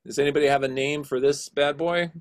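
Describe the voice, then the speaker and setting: A middle-aged man with a low voice teaching an online class over a video call, explaining casually with many fillers. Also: fairly even intonation, quick pace.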